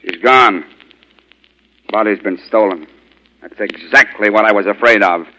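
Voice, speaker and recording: An actor playing a stubborn, brusque police commissioner who talks in clipped commands, in old radio drama style. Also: male voice, fairly low pitch, measured pace, bright, forceful tone, poor audio. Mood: commanding. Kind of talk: 1940s radio acting.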